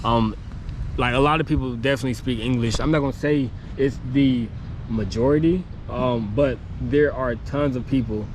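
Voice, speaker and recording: A man's voice: medium pitch, normal speed. A man who is losing his voice, talking casually to camera outdoors in a rambling, chatty way with lots of fillers. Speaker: travel vlogger. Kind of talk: casual vlog talk to camera. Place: outdoors on a city street near construction. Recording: noisy street with passing traffic. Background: traffic and city street noise.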